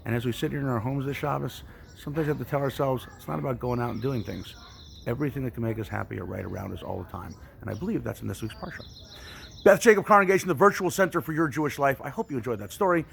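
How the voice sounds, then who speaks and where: Male youth storyteller and teacher, colloquial and expressive, brisk pace, gravelly fry, light noise behind. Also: mid-range voice. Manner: casual, colloquial, acts out examples. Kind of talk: animated religious storytelling for children.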